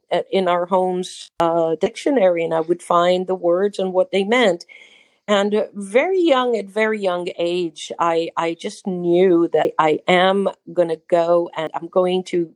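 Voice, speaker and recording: Israeli woman, low voice, dry sound. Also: no room sound. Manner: warm, effusive, with frequent restarts. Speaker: rabbi and cantor, guest. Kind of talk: conversational interview answer.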